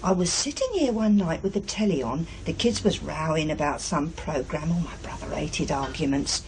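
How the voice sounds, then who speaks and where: A woman's voice: sits low, lively intonation, moderate pace, background noise. An actress playing a harried, chatty working-class English mother in a naturalistic radio drama, with a blunt, colloquial, nagging way of talking. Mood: chatty recounting.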